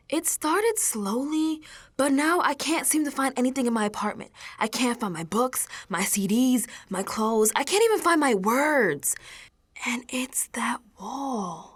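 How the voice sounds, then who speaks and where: Actress doing a scared young woman coming apart, radio drama monologue, highish voice, really swingy pitch, talks casual and halting. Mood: distraught, defiant. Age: young adult. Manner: colloquial, halting, slow, drifting into hushed tones.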